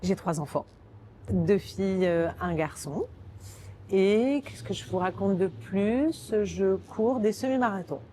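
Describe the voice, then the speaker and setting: A woman's voice: medium pitch, animated, slow pace, dark tone, slight background noise. A woman in her forties with a Parisian French accent, speaking informally in an interview and often rephrasing as she explains. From Paris, France. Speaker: podcast guest, communications director. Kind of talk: conversational interview, recounting own career.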